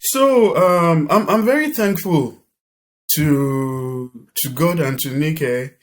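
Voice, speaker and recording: A man's voice: on the high side, slow pace, slight background noise. A middle-aged man with a Nigerian accent, talking as a guest in a conversational interview and often repeating and restarting his words. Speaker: podcast guest.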